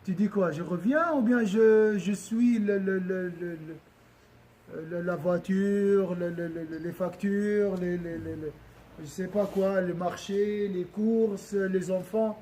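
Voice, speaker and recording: A man with a high, dark-toned voice leads a religious lesson for a gathering. He often puts questions to his listeners, and he repeats and restarts phrases as he talks. Faint noise runs behind him. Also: fairly even intonation, slow pace, rough recording. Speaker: religious teacher.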